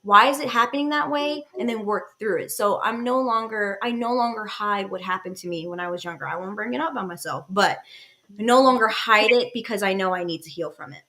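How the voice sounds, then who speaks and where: A woman's voice: medium pitch, a little fast, bright, forceful tone. A woman in her late twenties hosting a casual podcast chat in a candid, personal way. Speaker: podcast host.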